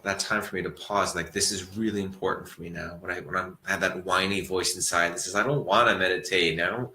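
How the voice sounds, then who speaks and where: A man with a low voice and a bright, forceful edge talks through ideas about mindfulness in a relaxed, meandering way. He often circles back and restarts his sentences. His voice rings in an echoey room. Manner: relaxed, rambling, thoughtful with frequent restarts. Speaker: acupuncturist giving a mindfulness talk. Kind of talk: reflective talk to an audience.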